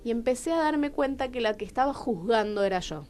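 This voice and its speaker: Argentine woman, kinda high voice, playwright chatting openly on radio, asks herself questions and voices the answers. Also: animated, brisk, a little mellow. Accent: Rioplatense Argentine Spanish. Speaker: guest playwright. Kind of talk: candid radio interview, personal storytelling. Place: radio studio.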